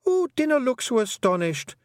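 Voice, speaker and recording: A man's voice: sing-song, no room sound, clean studio sound. A man voicing a tiny, dying, stubborn old fairy clan mother, in a storybook reading. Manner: broad brogue, raised pitch, slow weary phrasing. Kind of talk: audiobook character voice.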